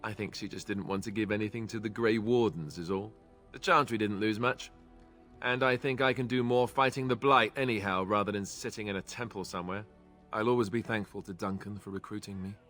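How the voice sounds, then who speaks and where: Man acting as young fantasy warrior, lowish voice, wry, self-deprecating, awkwardly sincere, game dialogue. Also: steady tone, fairly quick, a bit bright, faint music behind, lightly acted. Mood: wistful. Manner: joking, hesitant, conversational.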